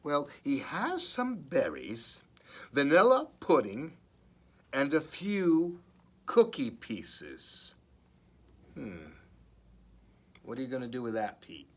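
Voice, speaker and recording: Children's librarian, male, very unhurried delivery with lively swings in pitch, expressive read-aloud with playful asides.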